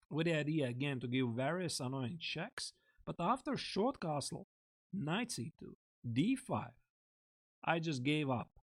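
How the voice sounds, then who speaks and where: A man coaching chess on a live stream, thinking aloud and chatting casually with viewers in a close desk mic. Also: fairly high pitch. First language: Latvian. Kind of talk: live-streamed chess lesson, explaining lines.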